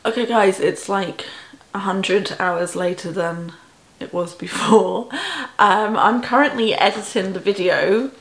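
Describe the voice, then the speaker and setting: A woman's voice: mid-range voice, unhurried. English girl vlogging in her bedroom, phone on a tripod, lots of ums, kinda echoey. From England. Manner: chatty and self-deprecating, lots of ums and likes. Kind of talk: casual vlog chat to camera. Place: small bedroom indoors. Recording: roomy bedroom echo.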